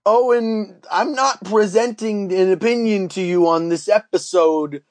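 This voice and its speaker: A man's voice: high-pitched, a little slow, no echo. A man playing a dim, earnest Canadian podcast character with a put-on Canadian accent and a rambling, folksy manner. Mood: insistent. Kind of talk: comedy podcast character banter.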